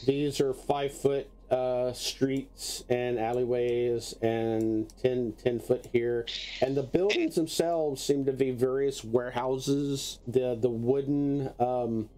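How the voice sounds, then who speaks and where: A man running a tabletop game as game master, narrating off the cuff in a halting, conversational way. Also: mid-range voice, fairly even intonation, dark tone.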